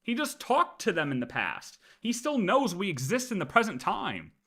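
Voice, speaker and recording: Male streamer, lively swooping pitch on the high side, casual and argumentative. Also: moderate pace. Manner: argumentative, repeats points, swears. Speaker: game streamer. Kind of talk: livestream reaction, casual chat.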